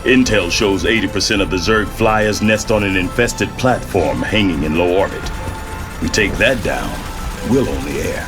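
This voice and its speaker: An actor playing a gruff, battle-worn older American general in a video game, with blunt folksy military delivery. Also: male voice, deep voice, normal speed, natural voice. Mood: grave briefing. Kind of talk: dramatic video game acting. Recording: dramatic music behind.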